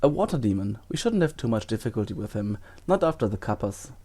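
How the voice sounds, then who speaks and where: A warm, mellow male professor, acted by a man, with expressive rises and falls. He sounds gentle and thoughtful, a kindly teacher in an audio drama. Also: on the low side, fast talker, breathy edge. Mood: friendly, curious. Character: kindly, mild-mannered male wizard professor. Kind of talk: fan audio drama acting.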